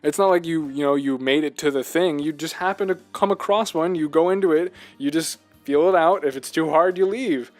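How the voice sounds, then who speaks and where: A man's voice: on the high side, brisk, few pauses, no echo, soft background music. A man co-hosting a casual video game chat, gushing with enthusiasm and rambling from thought to thought. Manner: rambling, jumps between thoughts. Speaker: podcast host.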